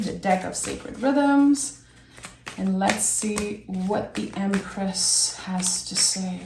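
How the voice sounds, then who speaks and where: A young woman with a raspy voice from being sick, giving a gentle, reflective tarot reading and thinking aloud as she draws cards. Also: medium pitch, reverberant. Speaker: tarot reader. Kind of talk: soft, slow tarot reading.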